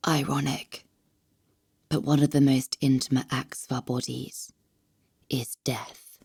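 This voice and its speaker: Female actor for taunting demon killer, audio drama. Flat, unhurried delivery, breathy menace. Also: very deep voice, dry recording, studio quality, heavily acted. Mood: cold menace. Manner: slow, hushed, menacing. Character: sinister female winged demon assassin, calm and taunting.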